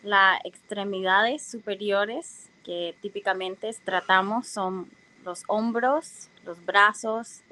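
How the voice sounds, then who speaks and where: Woman, english native, talks slow and careful, lots of "am"s, bright tone. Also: medium pitch, low-quality recording. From United States. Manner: careful and unhurried, with frequent "am" fillers and self-corrections. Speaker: guest lecturer. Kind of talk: technical presentation.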